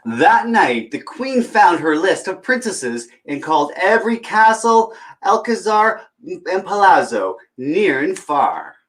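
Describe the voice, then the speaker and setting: Expressive man with a lively, swooping voice. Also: sits high, slow. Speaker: children's storytime host and reader. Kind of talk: chatty introduction and animated picture-book reading aloud.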